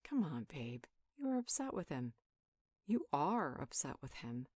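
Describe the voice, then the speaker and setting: A woman playing a calm, wise healer in an audio roleplay, talking a friend through things in a measured, reassuring, conversational way. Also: low-pitched, breathy edge, no echo, clean studio sound, full character voice. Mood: coaxing. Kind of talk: conversational roleplay monologue, one side of a dialogue.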